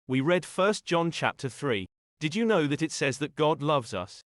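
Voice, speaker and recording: A man playing an earnest, reasonable young husband in an audio drama, speaking in a measured, thoughtful way. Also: fairly high pitch, lively intonation, no room sound, clean studio sound, close to an everyday voice.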